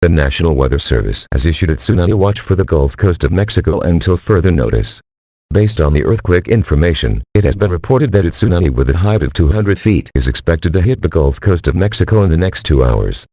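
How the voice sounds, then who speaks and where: A man's voice: deep voice, lively intonation, a little fast, a little mellow, slightly rough, no echo. A man's synthetic-sounding voice reading a formal emergency warning, stern and impersonal.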